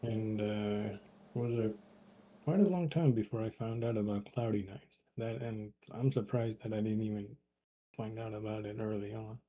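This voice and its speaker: A man chatting as a guest on a live stream, halting and hesitant with many fillers. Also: lowish voice, steady tone, dark tone, low-quality recording. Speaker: guest on a livestream. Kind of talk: casual chat.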